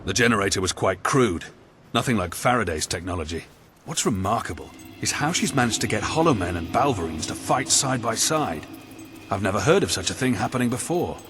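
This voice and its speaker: Low-voiced, dryly sardonic game officer. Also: male voice, animated, fairly quick, a bit bright. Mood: dry, observant. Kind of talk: scripted video game dialogue. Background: music.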